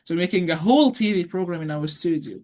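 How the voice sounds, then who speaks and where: A man's voice: sits high, quick pace. A young man from Egypt, an Arabic speaker, talking eagerly and at length as a student guest on a video call. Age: young adult. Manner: chatty, enthusiastic, repeats words when excited. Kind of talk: casual interview chat.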